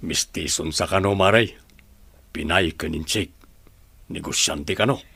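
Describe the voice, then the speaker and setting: A village family friend with a very deep voice. He is a gossipy type, played in the manner of radio drama. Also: a little slow, vocal fry, pushed and bright, slightly rough, no room sound, full character voice. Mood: curious, gossipy. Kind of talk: Ilocano radio drama acting.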